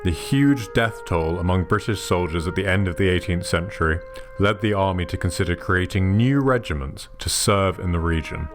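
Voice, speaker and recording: A man giving measured, scripted documentary narration. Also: low-pitched. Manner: measured, even documentary delivery. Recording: soft music underneath.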